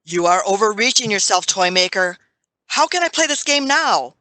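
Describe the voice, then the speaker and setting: Old doctor for radio play , male actor: indignant, anxious, forceful high-pitched delivery. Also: very animated, normal speed, no room sound, exaggerated voice. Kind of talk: audio drama acting.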